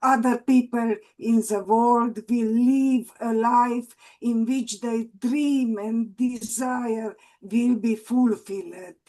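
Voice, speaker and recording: A woman's voice: fairly high pitch, fairly even intonation, mellow, low-quality recording. Hesitant older woman, Hungarian accent. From Romania. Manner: halting, hesitant, with restarts. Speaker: workshop participant. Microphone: online video call.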